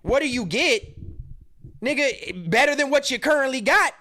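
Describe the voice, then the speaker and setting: A man's voice: very high voice, animated, moderate pace, sharp tone. A man in his thirties hosting a podcast, giving heated, profane commentary as he reacts to a stream clip and mocks the people in it. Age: 30s. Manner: profane, mocking, confrontational. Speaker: podcast host.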